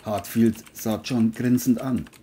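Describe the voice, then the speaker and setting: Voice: mid-range voice, fairly even intonation, slow, warm tone, slight background noise. A narrator reading a scripted crime audio drama, telling the story between the characters' lines.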